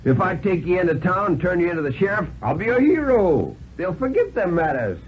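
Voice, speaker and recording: A man's voice: high voice, sing-song, fairly quick, phone-quality sound, slight background noise, pitched above the performer's own voice. A man acting a sly, uneducated tramp with a mocking, slangy rustic drawl in a 1950s radio reading. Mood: sly, threatening. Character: jeering, folksy male tramp, sly and uneducated.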